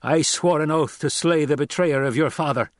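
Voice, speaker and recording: A man voicing an enraged, loyal warrior in an audiobook, with a snarling, menacing, forceful manner. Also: a little high, normal speed, dry recording, clean studio sound, pitched above the performer's own voice, close to an everyday voice. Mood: outraged, vengeful. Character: enraged, vengeful loyal warrior holding a hostage. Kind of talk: audiobook character acting.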